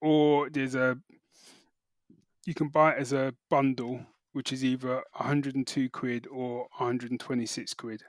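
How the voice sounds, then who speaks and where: A man's voice: medium pitch, flat delivery, a little slow, dry recording. A British man co-hosting a podcast, explaining things in casual, informal chat with some hesitant phrasing. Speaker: podcast co-host reporting news.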